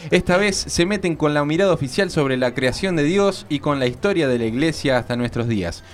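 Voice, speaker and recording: A man's voice: a little high, animated, brisk. A man with an Argentine accent co-hosting a radio show, reading theatre listings aloud with some casual banter. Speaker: radio co-host.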